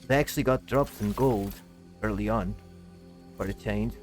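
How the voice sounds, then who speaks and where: A man with a fairly low voice talks casually through a video game. He thinks aloud in short, muttered fragments, with game music clearly playing behind him. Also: moderate pace. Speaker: gamer narrating a playthrough. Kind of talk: casual gameplay commentary.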